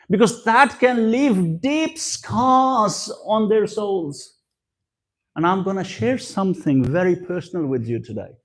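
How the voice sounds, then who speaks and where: Guy giving a slow religious talk, high voice, swoops up and down a lot, repeats stuff for emphasis. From Pakistan. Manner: deliberate, repeats key phrases for emphasis. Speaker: guest speaker at a religious gathering. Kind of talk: religious lecture with personal storytelling.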